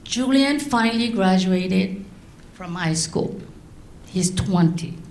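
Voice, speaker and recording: A middle-aged woman from Laos, raised in France, giving a prepared speech to an audience in slow, deliberate phrases. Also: mid-range voice, expressive, darkish tone, roomy sound, a little hiss or hum. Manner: slow, deliberate delivery with long pauses. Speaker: guest speaker.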